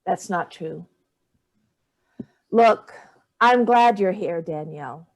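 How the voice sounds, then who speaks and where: A woman's voice: lowish voice, animated, slow pace. A middle-aged woman playing a sardonic, wounded older mother in a staged play reading, with clipped, cutting delivery. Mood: tense, probing.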